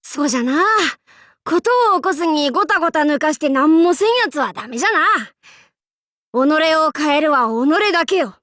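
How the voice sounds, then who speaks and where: A woman's voice: high-pitched, lively intonation, moderate pace, a bit bright, no room sound, studio quality. A woman voicing a bold, androgynous young demon-king warlord in an anime game, with boastful, archaic lordly speech and playful swagger. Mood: proud, resolute. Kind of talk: anime game character voice lines.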